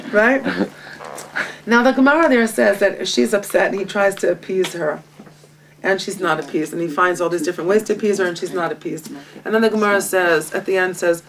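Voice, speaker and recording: A woman's voice: fairly low pitch, a little hiss or hum. Woman teaching, mic far off. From Israel. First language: Hebrew. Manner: lively, self-mocking, laughs often. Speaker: guest teacher. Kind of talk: Torah study lesson. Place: home library. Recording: distant mic.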